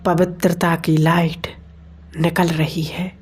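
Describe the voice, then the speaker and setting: A woman leading a guided meditation in deliberate phrases with pauses between them. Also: lowish voice, little pitch movement, darkish tone, husky. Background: meditation music.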